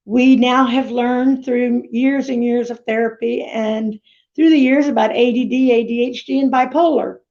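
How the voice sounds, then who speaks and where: A woman's voice: fairly high pitch, gravelly, darkish tone. A woman whose first language is Greek, from Florida, telling her life story in a chatty, rambling way on a video call. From United States (Florida). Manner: chatty, rambling, self-interrupting. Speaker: summit speaker. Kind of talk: personal life story talk. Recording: dull lo-fi video call audio.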